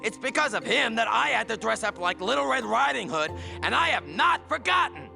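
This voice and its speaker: A man's voice: extremely high pitch, big pitch swings, brisk, vocal fry, music in the background, exaggerated voice. A man playing a sarcastic, reluctant, cowardly sidekick in a comic audio drama, grumbling and whiny. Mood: indignant.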